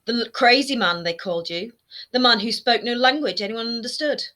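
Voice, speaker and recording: A woman voicing a shrill, nagging former queen in a storybook reading, her voice high, whining and scolding. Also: brisk. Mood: bitter. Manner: shrill and scolding, rising to near shouting. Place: home room lined with bookshelves. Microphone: webcam framing, seated close to camera.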